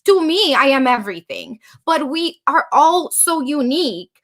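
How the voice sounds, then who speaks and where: Bright high-voiced woman hosting a podcast. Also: animated, a little slow. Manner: emphatic, motivational, repeats for effect. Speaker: guest host. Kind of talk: casual podcast interview.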